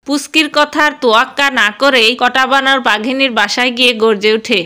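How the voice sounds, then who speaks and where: A woman's voice: high voice, steady tone, fairly quick, pushed and bright, clear, resonant tone. A woman giving scripted storybook narration for a cartoon.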